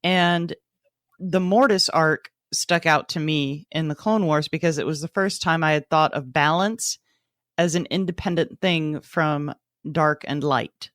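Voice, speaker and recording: A woman's voice: sits low, measured pace, a bit bright, dry recording. A woman chatting as a podcast co-host in a dry, close recording. She is conversational and reflective, explaining her thoughts in a casual book club discussion.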